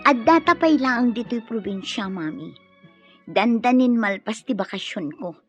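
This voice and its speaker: A woman's voice: on the high side, lively intonation, measured pace, muffled recording, music in the background. A woman playing an impatient, scheming young daughter in an Ilocano radio drama, with an Ilocano accent. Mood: annoyed, impatient.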